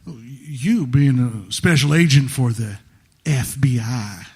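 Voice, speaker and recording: A man playing a hesitant, easygoing witness in an audio drama, halting, mumbling and deferential. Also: mid-range voice, breathy edge. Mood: wary, amused. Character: hesitant, easygoing male witness wary of police.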